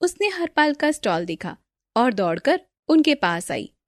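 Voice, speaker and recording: A woman's voice: high-pitched, very animated, measured pace, dry recording, studio quality. A woman storyteller reading a scripted children's moral story as narrator.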